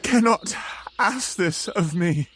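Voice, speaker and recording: Tormented scientist, high-voiced and slow. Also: male voice, lively intonation, fairly bright tone, slight background noise, heavily acted. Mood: horrified, defiant. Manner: anguished, theatrical, deliberate. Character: tormented, guilt-ridden young man of science. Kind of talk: audio drama acting.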